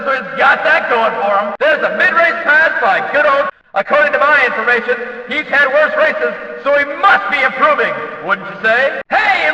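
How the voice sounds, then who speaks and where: A man playing a brash, jokey, excitable stock-car race announcer in a video game, with folksy, punchy calls. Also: extremely high pitch, exaggerated voice. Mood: excited, jokey, then shouting, thrilled. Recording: echoey hall PA, distant, slightly dull.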